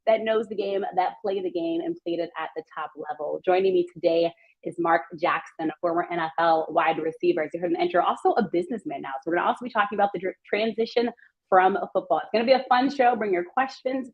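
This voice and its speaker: An excited, upbeat woman hosting a chatty live sports talk show, her voice a little worn. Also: quick pace. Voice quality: voice worn and deeper than usual. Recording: slightly dull streamed audio.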